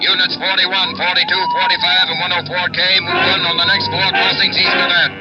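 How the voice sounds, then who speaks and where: An actor playing a police radio dispatcher giving clipped orders in a 1940s radio drama. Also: male voice, high-pitched, level tone, fairly quick, close to an everyday voice. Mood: commanding. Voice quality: clipped radio-call delivery. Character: crisp male police radio dispatcher, steady. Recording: muffled 1949 radio broadcast with loud music.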